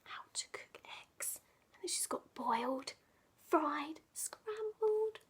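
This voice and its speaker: A woman reading a children's picture book aloud, dramatic and playful, often dropping into hushed asides. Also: high voice, expressive, slow. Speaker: children's storyteller.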